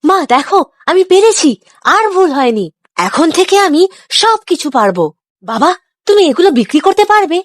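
A woman's voice: very high voice, sing-song, normal speed, no room sound, studio quality. A woman voicing an earnest, sensitive, clumsy village boy in a moral cartoon, with a childlike manner. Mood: happy, proud. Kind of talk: Bengali moral cartoon dialogue.

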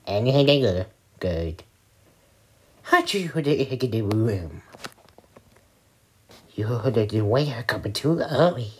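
An excitable cartoon character from a homemade skit, acted out by a woman. The voice is husky and slow. It stumbles and repeats itself, yet its pitch moves around in an animated way. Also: heavily acted. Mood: excited. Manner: halting, stumbling delivery with repeated words.

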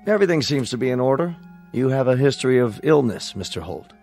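A courteous corporate salesman in a radio drama. His mid-pitched voice moves up and down expressively as he flatters and persuades in a polished way. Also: fast talker, faint music behind. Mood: solicitous.

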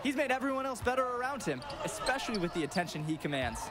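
A man's voice: very high voice, very animated, fast talker. A man acting as an analytical colour commentator in a basketball video game. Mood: assured analysis.

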